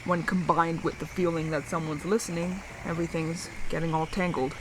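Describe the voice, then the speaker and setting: An actress playing a lonely, awkward survivor speaking haltingly and earnestly into a radio in an audio drama. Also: female voice, sits low, flat delivery. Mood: hesitant, awkward. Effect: radio transmission. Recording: noisy radio transmission with static.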